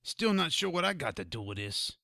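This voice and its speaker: A man's voice: on the high side, big pitch swings, fairly quick, creaky, bright, forceful tone, no room sound, clean studio sound, exaggerated voice. A man playing a cowardly, put-upon demon underling in an audio drama, whiny and indignant.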